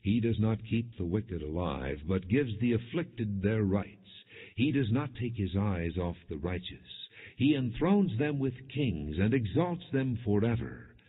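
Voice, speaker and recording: Low male voice, husky, solemn scripture narration, measured declamatory delivery, thin phone-like sound. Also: sing-song. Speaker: audiobook Bible narrator. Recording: phone-like narrow band, long hall reverb.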